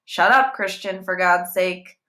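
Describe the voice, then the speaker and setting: Woman acting a sharp, stern schoolteacher. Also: lowish voice, unhurried. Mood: exasperated. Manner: sharp, commanding. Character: stern, exasperated woman schoolteacher scolding pupils.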